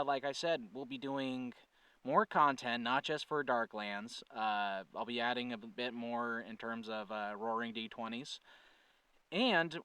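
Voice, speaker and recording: Male channel host, smooth clear voice at medium pitch, earnest and conversational, slightly off the mic. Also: pushed and bright, no echo, distant mic. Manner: earnest, conversational, thinking aloud with self-corrections. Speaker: channel creator and host, writer-producer. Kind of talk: casual update talk to viewers.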